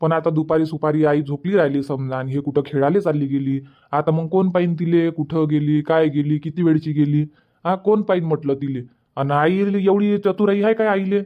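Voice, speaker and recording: A man's voice: fairly high pitch, fairly even intonation, brisk, close to an everyday voice. A man voicing a worried, reluctant village father in a cartoon comedy, questioning and protesting with a Vidarbha Marathi accent in a dull recording. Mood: worried objecting.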